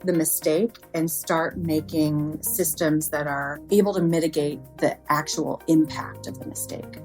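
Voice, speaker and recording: A woman's voice: low voice, fairly even intonation, unhurried, darkish tone, soft background music. A woman speaking conversationally as an interview guest.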